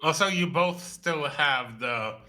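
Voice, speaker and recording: Casting: male gaming streamer; sharp, forceful tone, excitable halting delivery, casual and sweary. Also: fairly high pitch, faint music behind. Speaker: voice cast member on a gaming stream.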